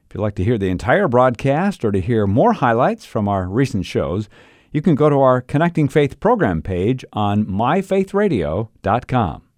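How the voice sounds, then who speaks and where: A man's voice: mid-range voice, very animated, moderate pace, a little mellow, dry recording, clean studio sound. A man reading a scripted introduction and sign-off as the host of a radio show. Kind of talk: scripted programme intro and outro.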